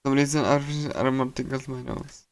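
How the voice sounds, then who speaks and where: A man reading a visual novel's text aloud on a casual live stream, in a halting, stumbling delivery. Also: mid-range voice, little pitch movement.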